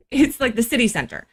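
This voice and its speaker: A woman guest talking informally on a podcast, explaining with rhetorical questions. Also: high-pitched. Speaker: guest, archaeology graduate. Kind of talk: casual podcast conversation.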